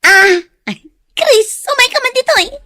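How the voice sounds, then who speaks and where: An actress playing a supportive, bossy young best friend with an Ilocano accent in a comedy radio drama, emphatic and scolding. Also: female voice, very high-pitched, lively intonation, normal speed, sharp tone, dry recording, studio quality, full character voice. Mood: brisk, exasperated.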